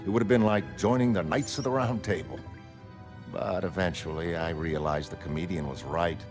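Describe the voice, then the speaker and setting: A wistful, middle-aged American ex-crime fighter acted by a man from a motion comic, with music playing under the voice. He speaks fairly low, in a hesitant and self-effacing way. He comes across as mild and unsure of himself. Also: moderate pace, lightly acted. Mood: nostalgic, reflective. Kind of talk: dramatic motion comic character acting.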